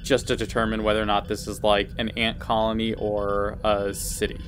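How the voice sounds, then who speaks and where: A low-voiced player presses a rules question, reasoning it out one step at a time. Music plays clearly behind him. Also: male voice, completely flat delivery, moderate pace. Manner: questioning, builds arguments step by step. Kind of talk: rules discussion at a game table.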